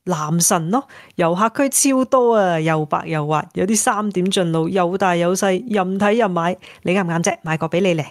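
A woman's voice: lowish voice, normal speed, few pauses, dry recording, clean studio sound, close to an everyday voice. An actress playing a breezy, teasing woman travel writer in a Cantonese radio drama, with a Hong Kong accent. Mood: teasing, playful. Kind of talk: radio drama acting, phone conversation.

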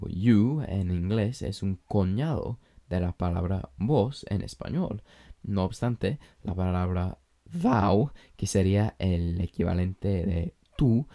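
A man with an English accent hosts a podcast for language learners, his voice deep and mellow with a gravelly edge. He explains at an unhurried pace and now and then slips in a light joke. His first language is English. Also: expressive. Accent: English-speaking learner of Spanish. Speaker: podcast host. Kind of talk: scripted language-learning monologue.

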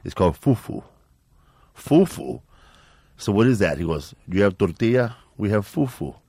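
A man's voice: lowish voice, animated, normal speed, gravelly, dark tone, husky, dry recording. A man, a comedian guest on a podcast, telling laid-back anecdotes and slipping into voices of people he met. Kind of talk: casual storytelling chat.

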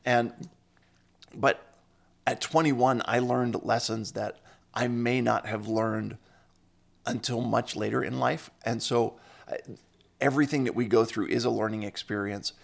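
A man's voice: mid-range voice, fairly even intonation, measured pace, gravelly, pauses often. A man hosting a podcast interview, introducing his guest with upbeat enthusiasm. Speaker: podcast host. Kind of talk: casual interview.

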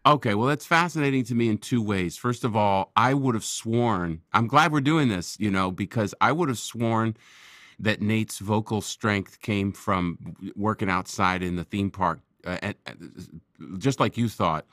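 A man's voice: on the low side, a little slow, a bit bright, no echo. Big-voiced guy talking on a podcast, dry close sound, kinda reflective. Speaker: podcast guest, improv performer. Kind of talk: casual chat.

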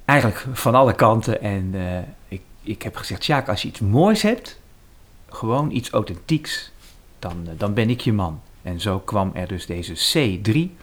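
Male musician interviewee, low voice, Netherlands Dutch, casual anecdotal talk, rephrases as he goes. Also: sing-song, unhurried.

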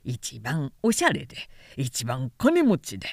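Woman putting on a pompous, lowered-voice vain man. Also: huge pitch swings, a little slow, vocal fry, no echo, studio quality, heavily acted. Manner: boastful, self-important.

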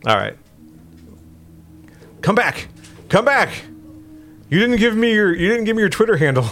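A man casually chatting and joking while playing a video game on a podcast, reacting loudly to the screen. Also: high-pitched, moderate pace, soft background music. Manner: jokey, animated reactions. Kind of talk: casual chat while gaming.

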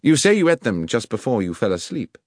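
A man voicing a sharp, commanding middle-aged detective who asks precise questions, in an audiobook reading. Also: mid-range voice, sing-song, fairly quick, dry recording, clean studio sound, pitched above the performer's own voice. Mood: probing. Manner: crisp, precise, probing questions.

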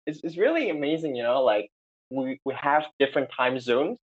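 A man hosting a podcast over a remote call, excited and chatty, with many fillers and restarts. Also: mid-range voice, a little fast. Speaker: podcast host. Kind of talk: casual chat. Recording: slightly dull lo-fi sound.

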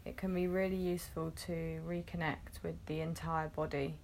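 A woman guiding a meditation, gently instructing in short phrases with long, unhurried pauses. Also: deep voice, little pitch movement, a little hiss or hum. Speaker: meditation guide. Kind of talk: guided meditation, soothing instruction.

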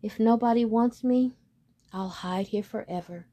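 A forlorn young duckling, as a woman reads him, speaks in a raised, pleading voice that is somewhat husky. He sounds slow and timid, as from a storybook. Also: a little high, dark tone. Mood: plaintive. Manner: plaintive, pleading. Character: sad lonely young male duckling.

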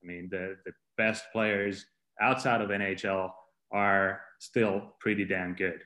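A man's voice: deep voice, level tone, measured pace, sharp tone. A man from Finland, a coach giving plain-spoken, explanatory answers as an interview guest. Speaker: podcast guest, strength and conditioning coach. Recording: roomy, echoing sound.

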